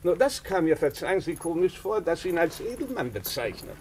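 An actor playing a blunt, shrewd doctor with a deliberate, sardonic, probing manner in a German radio drama. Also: male voice, fairly high pitch, big pitch swings, darkish tone, slight background noise. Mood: ironic, probing. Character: blunt, shrewd, bespectacled male country doctor.

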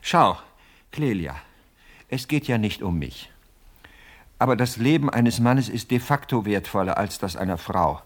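Stingy self-important widower in a radio play, older, lowish husky voice, always justifying himself. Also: male voice, expressive, a little slow, pauses often. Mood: pompous. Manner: pompous, self-justifying, fussily indignant.

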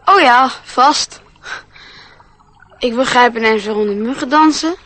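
A woman's voice: on the high side, unhurried, a bit bright. A woman acting an innocent, wide-eyed young schoolboy, eager and full of wonder, in a radio play. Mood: excited wonder.

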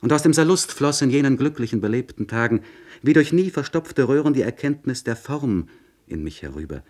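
An actor playing a troubled, introspective young aristocratic poet, speaking formally and eloquently in a literary radio monologue. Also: male voice, medium pitch, expressive, a little fast, a little mellow, natural voice. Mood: wistful, fervent. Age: young adult. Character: troubled, introspective 26-year-old aristocratic English poet writing a letter. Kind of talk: literary radio monologue, letter read aloud.

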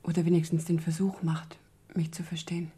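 An actress playing a subdued, troubled young woman in a German crime radio play, speaking softly and haltingly in a breathy, husky voice. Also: on the low side, flat delivery. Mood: sad, halting. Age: young adult. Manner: halting, soft-spoken.

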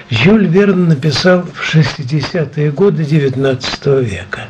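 An older man presenting on radio, introducing a programme conversationally in measured, chatty short phrases. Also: on the high side, darkish tone, slight background noise, low-quality recording.

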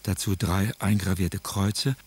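A man giving factual, measured scripted historical narration as the narrator of a radio drama. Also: sits low, steady tone, slightly rough.

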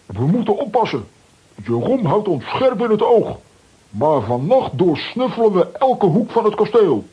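An actor playing an eager, self-assured cartoon adventurer with a theatrical delivery in a children's radio play. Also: male voice, high-pitched, sing-song, measured pace, lo-fi sound. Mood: conspiratorial.

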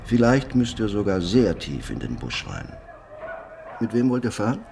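A grimly authoritative local man speaks in radio drama dialogue. His voice is on the low side, with faint background noise. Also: very animated, normal speed, vocal fry, darkish tone. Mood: grave, knowing. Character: knowing male local adviser, grimly authoritative.

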